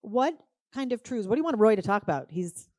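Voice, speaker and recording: A woman's voice: a little high, sing-song, fairly quick, a little mellow, no echo, clean studio sound. A woman moderating a live conference panel, upbeat and playful as she keeps the talk going. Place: conference stage.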